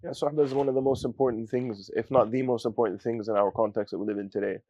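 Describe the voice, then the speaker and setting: A young man from giving a student testimonial, talking haltingly with many fillers and Arabic phrases. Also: mid-range voice, fairly even intonation, mellow, dry recording, dull audio. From United States. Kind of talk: casual spoken testimonial.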